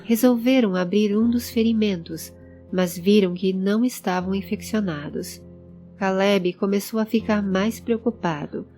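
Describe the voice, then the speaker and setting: A woman's voice: medium pitch, unhurried, mellow. A woman narrating a scripted audio drama. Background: background music.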